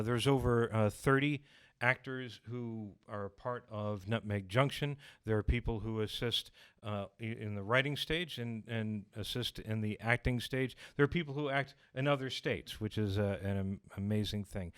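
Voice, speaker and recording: A man speaking as an interview guest, grateful and halting, often repeating words as he goes. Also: mid-range voice, clean tone. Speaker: guest, radio-drama creator and writer. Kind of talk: interview talk.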